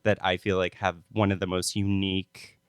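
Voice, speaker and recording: A man hosting a relaxed podcast with a fairly low voice. His tone is smooth and clear on a close mic. He chats loosely with his guest and often says "like". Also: moderate pace, no room sound. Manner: chatty, relaxed, lots of "like". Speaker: podcast host. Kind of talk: casual interview chat.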